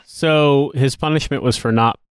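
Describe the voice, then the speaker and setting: A man's voice: mid-range voice, fairly even intonation, moderate pace, clean tone, no room sound, clean studio sound. A man on a comedy podcast giving casual, conversational commentary.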